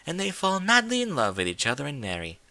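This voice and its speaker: A dainty, ladylike storybook pony, acted out by a man, speaking in a high, swooping voice with formal, theatrical phrasing. She is refined and gently prodding, with a bright tone. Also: wildly swooping pitch, fast, no room sound, exaggerated voice. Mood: coy, storytelling. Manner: genteel, formal, theatrical diction.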